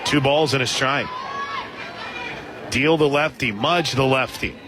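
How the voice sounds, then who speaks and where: A man doing live radio play-by-play commentary of a softball game as the announcer. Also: medium pitch, measured pace. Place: outdoor softball stadium broadcast position. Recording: loud stadium crowd noise behind. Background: loud crowd and ballpark ambience.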